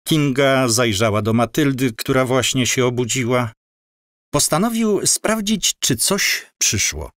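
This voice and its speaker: Audio drama narrator, male, unhurried storyteller with a mid-range voice in a dry studio. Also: mid-range voice, no room sound.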